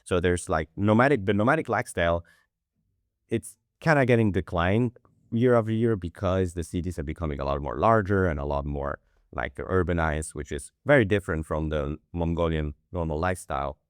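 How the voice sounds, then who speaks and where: A man hosts a podcast in a relaxed, chatty way, peppering his speech with "like". His voice sits very low. The sound is dry and close to the mic. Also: a little fast, clear, resonant tone, dry recording. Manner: loose, lots of "like" fillers. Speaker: podcast host. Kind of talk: casual podcast chat.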